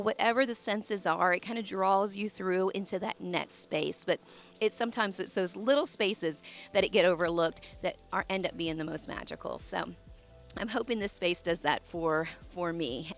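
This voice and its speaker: A woman in her forties, hoarse from a cold, chatting casually as she leads a tour of her garden outdoors. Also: mid-range voice. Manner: chatty, warm asides with fillers and restarts. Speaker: landscape designer and channel host. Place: outdoors in her own garden side yard. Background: soft music and running water.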